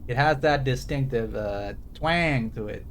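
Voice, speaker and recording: A man's voice: mid-range voice, measured pace, slight background noise. A man streaming a game playthrough, rambling casually with self-mocking jokes and reading the game's text aloud. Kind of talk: casual let's-play commentary. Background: game music.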